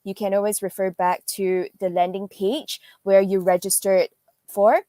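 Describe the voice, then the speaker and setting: A woman's voice: medium pitch, level tone, unhurried, mellow, no room sound. Woman host giving a dry, close welcome address. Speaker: event host, developer marketing lead. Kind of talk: welcome address to an online conference.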